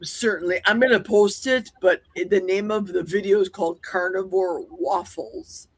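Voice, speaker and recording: Husky, enthusiastic livestream chatter. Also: medium pitch, moderate pace. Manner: enthusiastic, laughs easily. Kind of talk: casual livestream chat.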